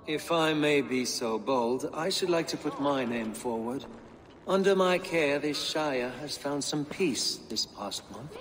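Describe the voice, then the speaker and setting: An actor playing a pompous, pious middle-aged Saxon bishop in a video game, speaking in a formal, measured manner. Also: male voice, fairly high pitch, lively intonation, fairly bright tone, in a large hall. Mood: formal, persuasive. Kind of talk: video game drama acting.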